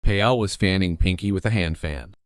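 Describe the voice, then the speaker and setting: A man's voice: low-pitched, normal speed, no room sound. A man reading scripted narration for a story as the storyteller.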